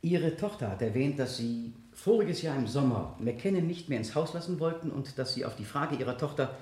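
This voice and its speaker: A man's voice: medium pitch, animated, moderate pace, darkish tone, natural voice. An actor playing an insistent male prosecutor, questioning in a pointed, theatrical way in a German radio play. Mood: accusing, insistent. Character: insistent male prosecutor cross-examining a defendant. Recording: echoey studio courtroom, older radio production.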